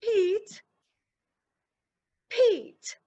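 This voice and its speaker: An actress playing a brisk, tart, exasperated young woman sidekick in a radio crime drama, with a husky voice. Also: extremely high pitch, animated, warm tone, dry recording, lo-fi sound, faint music behind, exaggerated voice.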